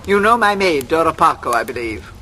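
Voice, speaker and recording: A sharp, swooping voice: an imperious old lady. She is haughty and scolding in old-time radio drama style, on a faintly noisy recording. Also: low voice, lively intonation, a little fast. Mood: scolding, suspicious. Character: imperious, scolding old English mistress of the house. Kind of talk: 1940s radio acting.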